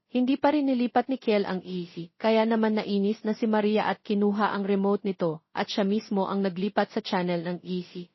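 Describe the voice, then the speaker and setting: A woman's voice: mid-range voice, dry recording. Muffled Filipino woman narrating a romance. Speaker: audiobook narrator. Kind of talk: scripted romance story narration.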